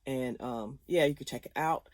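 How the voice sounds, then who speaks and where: A woman's voice: a bass voice, moderate pace, dry recording, clean studio sound. Chatty laughing woman in her fifties. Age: 50s. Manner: chatty and rambling, laughs often. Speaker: vlogger. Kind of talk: casual chat to viewers.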